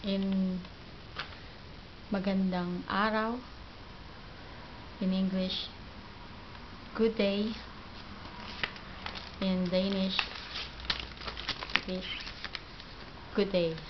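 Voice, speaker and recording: A Filipino woman whose first language is Tagalog, teaching basic words slowly and repeating each one twice. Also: mid-range voice, little pitch movement. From Philippines. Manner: slow, halting, repeats each word twice. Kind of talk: home video language lesson. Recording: dull lo-fi home video with background noise.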